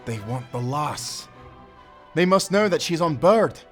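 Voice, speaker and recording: A man's voice: a little high, animated, unhurried. A man playing a loyal, salty sailor in a nautical audio drama, protective and urgent, with dramatic seafaring turns of phrase. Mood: alarmed, protective. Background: music.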